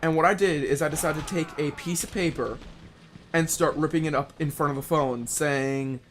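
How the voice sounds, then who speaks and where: A young man telling a casual, unscripted story in a rambling, halting way, often rephrasing himself as he goes. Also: a little high, a little hiss or hum. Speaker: online video storyteller.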